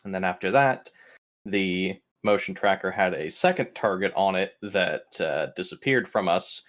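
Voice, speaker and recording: A man's voice: sits low, a little slow, fairly bright tone. A man casually recapping a tabletop role-playing session as one of the players. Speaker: player in an online tabletop RPG.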